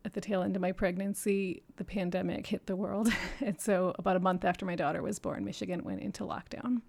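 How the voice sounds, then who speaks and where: Gravelly-voiced woman in her thirties, earnest. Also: fairly low pitch, fairly even intonation, fairly quick, darkish tone. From Japan and New York City. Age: 30s. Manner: earnest, reflective, laughs often between thoughts. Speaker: podcast guest. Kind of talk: candid personal storytelling.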